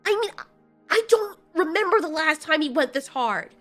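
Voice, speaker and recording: A woman voicing a compassionate, proud giant moth queen in an audio story, pleading and indignant, with emotional, halting speech. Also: sits high, expressive, fairly bright tone, faint music behind, voice pushed up.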